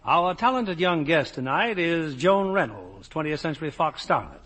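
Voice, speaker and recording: Husky American radio announcer, male. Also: a little high, sing-song, moderate pace, fairly bright tone. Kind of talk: scripted 1950 radio announcing. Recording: old 1950 radio broadcast.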